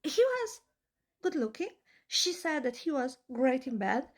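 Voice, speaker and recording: A young woman with an Eastern European accent delivers a blunt, opinionated monologue. Her voice sits high, and she leans hard on emphasis and rhetorical questions. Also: very animated, a little slow. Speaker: vlogger.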